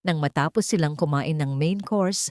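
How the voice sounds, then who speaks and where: A woman narrates a dramatic story in a low voice. Her phrasing is measured and suspenseful, broken by short pauses. The recording is dry and close. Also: a little mellow, no echo.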